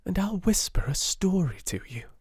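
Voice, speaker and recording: A man reading a storybook, voicing a kind young schoolgirl with a calm, firm, coaxing manner. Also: mid-range voice, fairly quick, no room sound, studio quality, voice pushed up.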